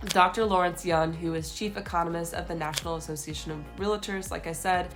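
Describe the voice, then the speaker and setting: A young woman, a real estate agent, giving a scripted market update to camera, her voice nasal from a cold. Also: lowish voice. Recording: faint music behind.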